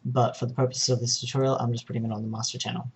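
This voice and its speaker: Man, middle-pitched voice, flat intonation, informal tutorial narration, hesitant step-by-step explaining. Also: rapid-fire, breathy edge. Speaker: tutorial presenter.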